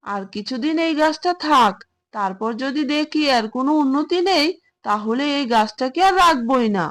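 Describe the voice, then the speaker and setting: A woman's voice: sits high, lively intonation. A woman voicing a boastful, greedy cartoon tiger husband. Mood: grumbling. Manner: boastful, exclaiming, with drawn-out laughs. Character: gloating, greedy adult male tiger farmer, scornful husband. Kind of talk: Bangla cartoon fable voice acting.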